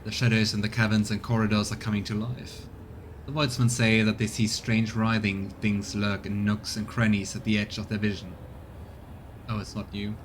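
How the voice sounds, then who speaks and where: A man's voice: lowish voice, flat delivery, moderate pace, slight background noise. A man doing casual let's play commentary, chatting as he thinks aloud and reads game text. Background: faint game music.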